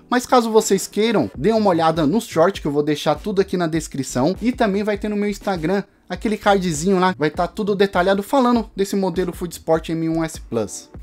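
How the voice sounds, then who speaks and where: A man's voice: sits high, darkish tone. A man, giving a casual product review to camera. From Brazil. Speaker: tech reviewer. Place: small home studio room.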